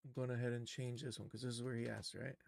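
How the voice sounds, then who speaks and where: A man's voice: mid-range voice, level tone, fast, vocal fry, darkish tone. A man casually narrating his own steps in an on-screen tutorial, thinking aloud in short muttered remarks. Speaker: game developer streaming a tutorial.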